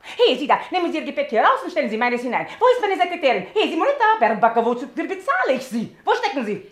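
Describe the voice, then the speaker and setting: Eine Schauspielerin spielt in einem deutschen Hörspiel eine herrische, hitzköpfige Operndiva, die hochmütig schimpft und kommandiert. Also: female voice, sits high, big pitch swings, very fast, roomy sound. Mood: bossy, furious. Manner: haughty, commanding, scolding, breaks into Italian. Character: imperious, hot-tempered opera diva, loud and entitled. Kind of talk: German radio play acting.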